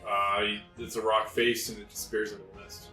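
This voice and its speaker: A man's voice: on the low side, flat delivery, a little fast, sharp tone, echoey room, faint music behind. A man as game master, describing scenes and calling for dice rolls. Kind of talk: narrating a tabletop game.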